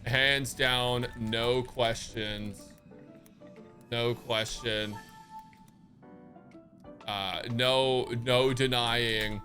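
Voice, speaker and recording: A man's voice: medium pitch, steady tone, extremely slow, pauses often, sharp tone, soft background music. A man chatting casually as a live game streamer, slangy and joking, with swearing and hyperbole. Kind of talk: casual livestream chat.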